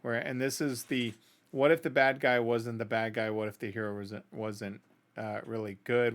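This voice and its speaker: A middle-aged man hosting a music reaction video, talking casually and conversationally, giving opinions and often checking in with the listener. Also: on the low side. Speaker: host of a music reaction channel.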